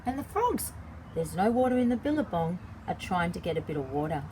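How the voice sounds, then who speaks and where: A woman's voice: lowish voice, big pitch swings, a little fast, dark tone, slight background noise, poor audio. A woman reading a picture book aloud to young children, adding simple explanations and drawing out key words. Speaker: children's story time host and early childhood educator.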